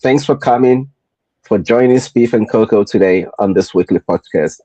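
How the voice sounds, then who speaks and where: A man from Nigeria with a Nigerian accent, hosting a motivational podcast in a deliberate, repetitive rhetorical style. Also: fairly low pitch, warm tone, no echo, dull audio. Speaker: podcast host.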